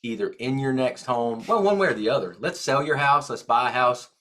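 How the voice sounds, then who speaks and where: Man, realtor co-host, casual chat, joking, easygoing, mid-pitched voice. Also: expressive, a little fast, a bit bright.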